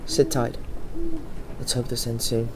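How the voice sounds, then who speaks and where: A man's voice: mid-range voice, little pitch movement, unhurried, lightly acted. A man playing a modest, uneasy teenage schoolboy wizard in an audio drama. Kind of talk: fan audio drama acting. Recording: faint background noise.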